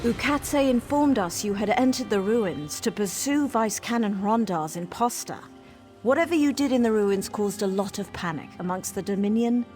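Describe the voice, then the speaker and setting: Female actor as dutiful fantasy game soldier: mid-pitched, composed, formal, earnest, light music underneath. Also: moderate pace, darkish tone, lightly acted. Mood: earnest, concerned. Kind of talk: fantasy video game voice acting. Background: faint game music.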